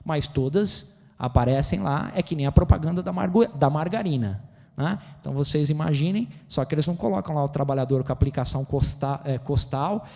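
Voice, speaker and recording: Brazilian male lecturer, Brazilian Portuguese accent, excitable, keeps checking in with the room; mellow tone. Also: on the high side, brisk, gravelly. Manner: animated, gesticulating, excitable; constant "né?" tag questions. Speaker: seminar lecturer. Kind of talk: seminar lecture. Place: auditorium. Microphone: handheld microphone. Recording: reverberant auditorium.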